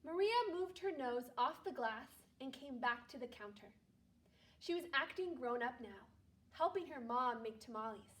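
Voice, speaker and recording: A woman teacher reading a storybook aloud to young children, speaking to them directly in an animated way. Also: sits high, moderate pace, distant mic. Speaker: second grade teacher.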